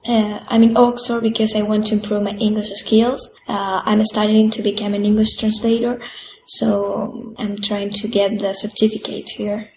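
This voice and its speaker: A woman's voice: medium pitch, a little mellow, echoey room, a little hiss or hum, rough recording. A woman, a student speaking carefully. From Argentina. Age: 20s. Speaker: language student. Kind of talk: casual podcast introduction.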